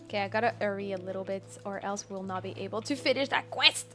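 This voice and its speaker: A woman's voice: mid-range voice, fast talker, fairly bright tone, faint music behind. A woman live streaming a game, chatting casually with viewers and reacting expressively.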